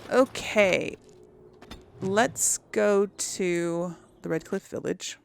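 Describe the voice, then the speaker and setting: A woman's voice: mid-range voice, drawn-out words, vocal fry, smooth. A woman hosting a casual game playthrough, thinking aloud in a hesitant, musing way. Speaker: gaming video host.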